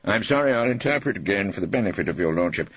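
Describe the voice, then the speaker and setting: A man's voice: lowish voice, lively intonation, fast talker, fairly bright tone, slightly rough, no echo, dull audio, voice pushed up. A man voicing a combative, irreverent old English barrister in an audiobook, theatrical and relentless, with sardonic cross-examining. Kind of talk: audiobook character acting.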